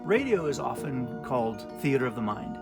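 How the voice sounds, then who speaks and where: Man, mid-pitched voice, measured, explanatory interview, clear music behind. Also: big pitch swings.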